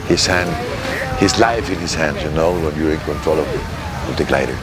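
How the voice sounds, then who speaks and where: A paragliding instructor, a man, speaking in heartfelt, halting English in a documentary interview. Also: a bass voice, over a noisy crowd, heavy background noise.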